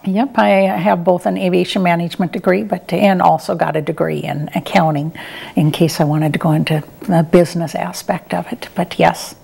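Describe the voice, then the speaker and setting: A woman's voice: lowish voice, fairly even intonation, normal speed, creaky, a little mellow, breathy edge. A middle-aged woman answering interview questions in a conversational, plain-spoken and somewhat hesitant way. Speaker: interview guest, retired air traffic controller and professor.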